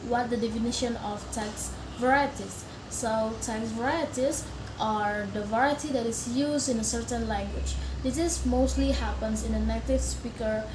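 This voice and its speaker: A fairly high-pitched young woman from Indonesia, a native Indonesian speaker, presents for a class and stops often on fillers and restarts. Her voice carries an echo from the room, and clear noise sits behind her. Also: fairly even intonation, normal speed, hardly any pauses. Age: young adult. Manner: hesitant, many fillers and restarts. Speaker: student. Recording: echoey room with background noise.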